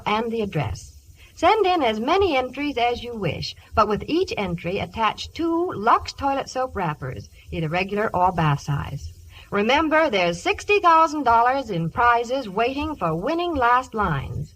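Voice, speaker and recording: Woman, American accent, 1950s radio commercial announcer, highly animated intonation, raised pitch, scripted delivery, vintage broadcast sound. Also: normal speed, poor audio.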